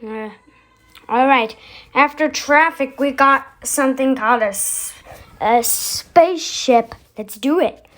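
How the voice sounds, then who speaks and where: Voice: high voice, takes it slowly, fairly bright tone. A video host giving casual vlog commentary, rambling and thinking aloud.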